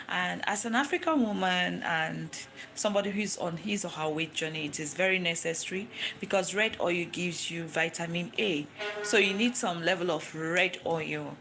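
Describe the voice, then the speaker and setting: A woman's voice: on the low side, creaky, fairly bright tone, a little hiss or hum. A Nigerian woman whose first language is Igbo, talking to camera in a chatty, explaining way and answering her own questions. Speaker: vlogger. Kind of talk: casual talk to camera.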